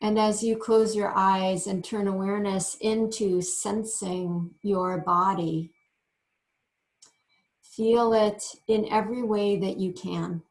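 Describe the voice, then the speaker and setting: A woman teaching yoga meditation, giving gentle instructions in short phrases with frequent pauses. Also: mid-range voice, steady tone. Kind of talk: guided meditation.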